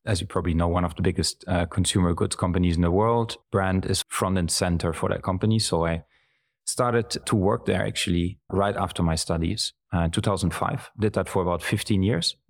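Deep guy in his 40s, calm measured podcast guest, lists things out. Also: vocal fry. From German-speaking Belgium. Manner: measured, thoughtful, listing examples at length. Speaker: guest, brand executive. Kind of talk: reflective conversational interview.

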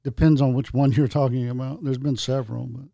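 Mellow man, calm casual chat, dry close recording. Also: mid-range voice, a little fast, vocal fry, no echo.

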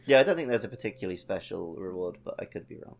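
A man's voice: sits low, sing-song, very fast, creaky, slight background noise. A man co-hosting a gaming podcast, chatting casually with another host. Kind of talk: casual chat about video games. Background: music.

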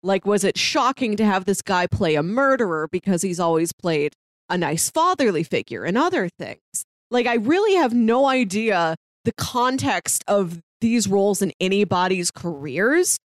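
A woman's voice: medium pitch, big pitch swings, moderate pace, dry recording, clean studio sound. A woman co-hosting a podcast, chatting expressively and casually about a TV show. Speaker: podcast co-host.

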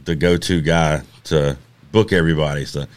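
A man's voice: very deep voice, fairly even intonation, moderate pace. A man in his late thirties hosting a podcast, rambling in an easygoing way and swearing casually. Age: 30s. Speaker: podcast host. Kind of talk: casual chat.

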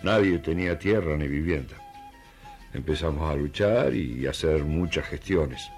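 A man's voice: very deep voice, lively intonation, normal speed, darkish tone, soft background music. A man from Argentina, giving first-person testimony as a community member in a storytelling style.

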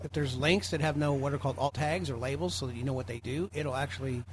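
A man's voice: mid-range voice, fast, very noisy. A man casually explaining tech steps in an instructive way on a group call. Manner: instructive, step-by-step. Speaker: call participant giving tech advice.

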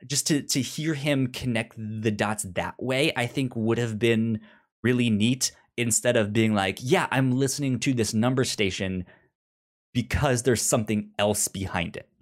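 A podcast co-host talks in a casual, conversational way. The speech stammers, often repeating sounds and whole words before moving on. The pitch sits in a middle range. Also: unhurried. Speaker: podcast co-host reviewing audio drama. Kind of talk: casual chat.

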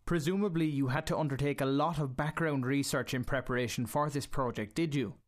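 A man hosting an interview, putting prepared questions in a formal, measured way. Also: mid-range voice. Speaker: radio show host and interviewer.

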